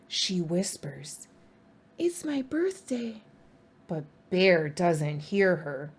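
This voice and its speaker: A woman reading a children's storybook aloud in an expressive, animated and deliberate storytime style. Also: mid-range voice. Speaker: storybook reader.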